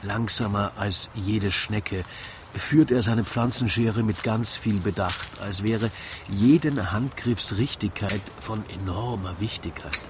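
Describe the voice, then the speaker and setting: A man with an Austrian accent narrates a scripted radio play in rhyming verse, in a wry, deliberate, theatrical way. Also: fairly low pitch, background noise.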